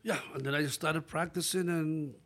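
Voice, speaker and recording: A man's voice: fairly high pitch, moderate pace. Older dominican-born guy, chill short answers, interview vibe. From Dominican Republic. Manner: relaxed, plain-spoken, short answers. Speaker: guest musician. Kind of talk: casual interview.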